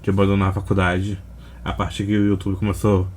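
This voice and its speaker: A man's voice: deep voice, monotone, a little fast, darkish tone, a little hiss or hum. A man with a Brazilian accent hosting a reaction video in laid-back, slangy, casual chat.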